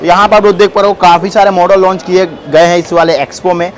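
A man's voice: high-pitched, fairly even intonation, slight background noise. A young man hosting a casual product walkthrough at a busy indoor expo booth, chatty and addressing viewers directly. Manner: chatty, addresses viewers as brothers, quick questions. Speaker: trade show video host.

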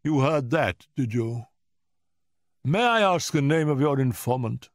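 A man's voice: medium pitch, animated, unhurried, no echo, studio quality, pitched above the performer's own voice. A man voicing a malicious, irascible elderly professor in an audiobook story, with a pompous, cutting manner. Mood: sharp, suspicious. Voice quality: screaming at peaks.

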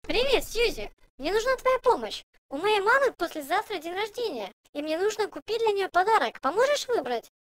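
Cartoon schoolgirl piglet: very high, swoopy, insistent, chirpy, recorded dry. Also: normal speed, few pauses, no echo, exaggerated voice. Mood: friendly, eager. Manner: chirpy, expressive, childlike questioning. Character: cheerful, insistent little girl pig, young schoolchild. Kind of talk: children's cartoon dubbing.

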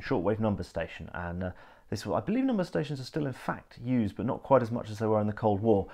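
A man in his thirties talking casually to camera in a living room, explaining things in a geeky, thorough, hesitant way. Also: medium pitch. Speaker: host of a video channel. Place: living room indoors, on a sofa.

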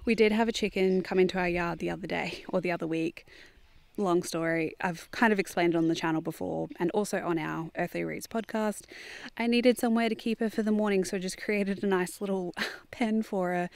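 A woman's voice: mid-range voice, steady tone, a little fast, vocal fry, no room sound. Chatty woman outdoors in a garden. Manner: chatty, with fillers and restarts, occasional soft asides. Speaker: vlogger giving a garden tour. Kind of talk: casual walking garden tour.

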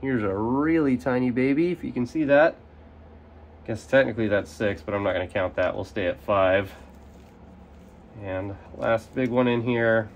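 A man's voice: mid-range voice, a little hiss or hum. Casting: young man, a bit nasal from a cold, easygoing how-to explainer, outdoor recording. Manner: relaxed, explanatory, casual with fillers. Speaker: carnivorous plant grower. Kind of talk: hands-on gardening demonstration. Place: outdoors in sunlight at a potting table. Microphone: camera overhead, speaker off frame.

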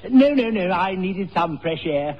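A man's voice: sits high, animated, measured pace. An actor playing a polite, fussy, easily exasperated man in a 1960s radio sitcom. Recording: muffled old radio recording, studio audience.

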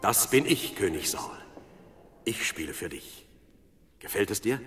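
An actor playing a modest young shepherd musician, polite and earnest, in a Bible audio drama. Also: male voice, fairly low pitch, lively intonation, measured pace, fairly bright tone. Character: young shepherd harpist, modest, eager to please.

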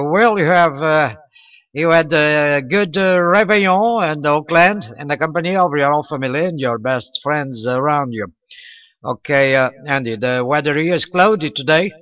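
A man with a Portuguese accent speaks in a very clear, slightly high voice. His English is halting and courteous, in the style of an amateur radio contact. The sound is close and dry. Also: a bit bright, no echo. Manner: halting, non-native English with courteous sign-offs. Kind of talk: casual radio contact chat.

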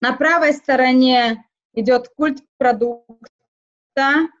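A woman whose first language is not Russian, speaking haltingly in a casual interview, with many fillers and restarts. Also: high-pitched, highs cut off. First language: not Russian; schooled outside Russian. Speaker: interview guest.

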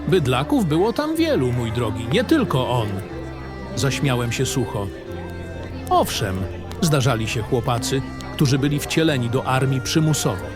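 A man giving a grave, dramatic first-person narration as a war-weary, cynical baron in a fantasy audio drama. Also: medium pitch. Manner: grave, literary storytelling.

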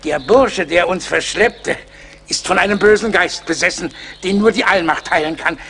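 Pompous, pious oddball psalmist, high creaky voice, florid preacherly radio drama. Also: male voice, moderate pace, a bit bright, exaggerated voice. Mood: earnest. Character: pious, pompous psalm-singing teacher, eccentric and harmless. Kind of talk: German radio play acting.

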